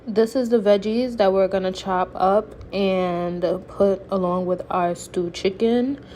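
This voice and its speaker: A woman's voice: mid-range voice, steady tone, a little slow, warm tone, a little hiss or hum. A woman giving a casual, playful cooking tutorial, chatting to viewers informally as if they were friends. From Haitian background. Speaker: home cook, recipe vlogger.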